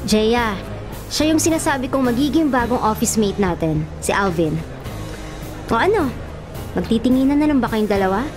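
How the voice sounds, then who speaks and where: A breezy, bossy young Filipino matchmaker, acted by a woman in a radio drama. Her voice is fairly high and swings playfully as she teases. Music runs underneath. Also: lively intonation, normal speed, pauses often, lightly acted. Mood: mischievous. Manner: breezy, teasing, exclamatory.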